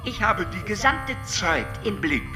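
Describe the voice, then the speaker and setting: Radio play: serene all-knowing alien, detached lecturer with a stutter, cavernous reverb, music underneath. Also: female voice, medium pitch, very animated, unhurried. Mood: detached, explanatory. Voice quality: stutters, sticking on syllables. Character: calm, all-knowing alien from Tralfamadore explaining time. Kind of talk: radio drama acting. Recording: long reverb, music behind.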